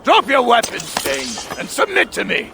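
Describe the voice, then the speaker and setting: A man's voice: very high-pitched, wildly swooping pitch, a little slow, creaky, noisy background, full character voice. Game role: an officious male military captain. Stern, hostile, barking curt commands, slight ambience behind. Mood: angry authority. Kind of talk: video game voice acting.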